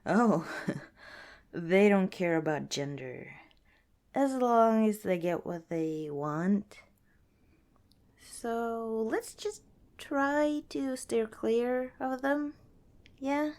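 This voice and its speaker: A woman playing a friendly, protective young wanderer in an ASMR roleplay, chatting casually and gently with hesitant pauses and small chuckles. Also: on the high side. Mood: gently wary. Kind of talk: ASMR roleplay, casual one-sided dialogue.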